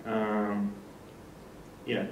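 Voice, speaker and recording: A man from Poland with a Polish accent gives an informal technical presentation in slightly halting non-native English. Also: on the low side, monotone, dull audio. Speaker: speaker at a developer meetup. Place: indoor meetup presentation room. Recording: echoey room, distant mic, faint noise.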